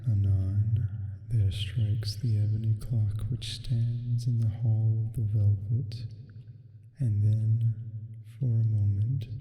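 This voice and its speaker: A man plays a sinister demon reading a gothic bedtime tale in ASMR style. The voice is very dark and low, slow and solemn, murmuring softly in a long, echoing reverb. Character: calm, sinister male sleep paralysis demon reading bedtime horror. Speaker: ASMR storyteller. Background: music.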